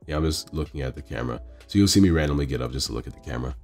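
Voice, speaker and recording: A man with a hoarse, failing voice rambling through casual play-by-play of his card game match, recorded on a phone in a local game store. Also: a bass voice, animated, very fast, darkish tone, soft background music. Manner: rambling, self-correcting strategy talk. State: hoarse, losing his voice from illness.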